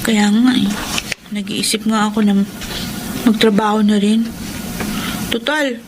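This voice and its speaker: A woman's voice: mid-range voice, fairly even intonation, a little slow, natural voice. A woman playing an earnest, caring teenage sister in a Filipino radio drama. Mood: pensive. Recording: noisy radio drama recording.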